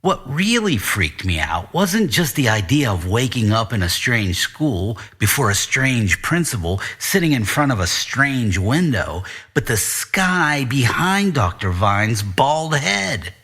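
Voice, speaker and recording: A man's voice: medium pitch, sing-song, takes it slowly, few pauses, fairly bright tone, husky, heavily acted. A man narrating a noir story as a confused, self-mocking tenth-grade boy, in a conversational, sardonic way. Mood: uneasy, confused. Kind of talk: first-person noir audiobook narration.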